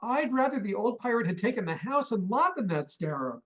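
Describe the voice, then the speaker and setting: A man acting an irascible middle-aged father and collector in a comic radio play. His delivery swings widely in pitch as he blusters and rants with indignation. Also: sing-song, brisk, a bit bright. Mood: outraged.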